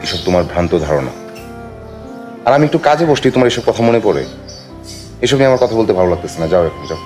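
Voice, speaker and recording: A man's voice: fairly low pitch, big pitch swings, fast, darkish tone, faint music behind. An actor playing a devoted, headstrong young man in love in a television drama. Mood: annoyed. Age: young adult. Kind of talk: TV drama acting.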